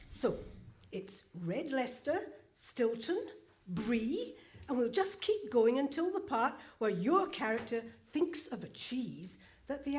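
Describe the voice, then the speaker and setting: A woman's voice: on the high side, darkish tone. A woman playing a naive, puzzled recording producer with a questioning manner in a live radio comedy play. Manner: hesitant, questioning. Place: hall stage in front of a live audience.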